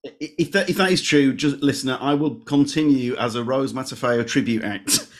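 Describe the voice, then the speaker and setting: A man's voice: mid-range voice, moderate pace. A man hosting a podcast interview, asking questions in a playful, jokey way. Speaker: podcast host.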